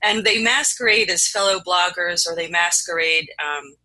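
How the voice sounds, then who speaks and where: A host giving unscripted news commentary, phrasing things in a halting, hesitant way. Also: mid-range voice, sharp tone, poor audio. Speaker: host introducing stories and a guest.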